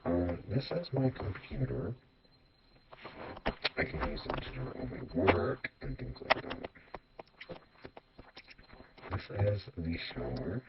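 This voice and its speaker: A man's voice: lowish voice, very animated, slow, creaky, long pauses. A man speaking through a robot voice changer, giving a casual, offhand tour of a video game house. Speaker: video host. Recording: muffled, lo-fi, loud noise and clicks.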